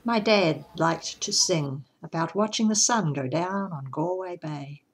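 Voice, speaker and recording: A middle-aged woman from New Zealand with a New Zealand accent, gently narrating a scripted tour of her art studio. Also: lowish voice, unhurried, a little hiss or hum. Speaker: artist giving a studio tour.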